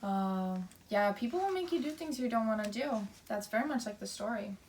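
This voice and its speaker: A woman's voice: on the high side, lively intonation, a little fast, darkish tone, recorded from a distance, slight background noise. A woman teacher leading an interactive read-aloud for children, explaining simply and asking questions.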